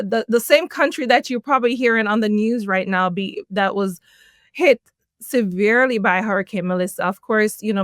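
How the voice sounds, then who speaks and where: A middle-aged woman from Jamaica, a podcast guest telling her life story in an animated way, laughing often. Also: mid-range voice, clean tone, dry recording, studio quality. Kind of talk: personal storytelling in an interview.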